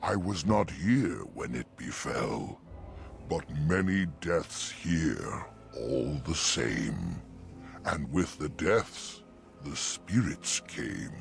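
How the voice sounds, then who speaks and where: A colossal old talking tree from a fantasy video game. The voice is rock-bottom deep and gravelly, with drawn-out, ponderous verse that rises and falls in solemn sorrow. Faint music plays underneath. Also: male voice, full character voice. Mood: somber, reflective. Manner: speaks in rhyming verse with archaic words. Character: ancient talking oak tree, slow, solemn, mournful.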